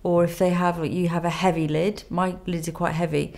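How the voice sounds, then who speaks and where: Nasal woman with a cold, calm tutorial. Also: fairly low pitch, moderate pace. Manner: calm step-by-step instruction while applying makeup. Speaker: makeup artist. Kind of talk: makeup tutorial. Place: indoor studio with plain grey backdrop.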